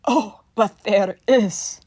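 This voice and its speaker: A woman's voice: medium pitch, drawn-out words, noisy background. An actress playing a proud, ruthless ancient queen in an audio drama, imperious and theatrical in manner. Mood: cold, ruthless.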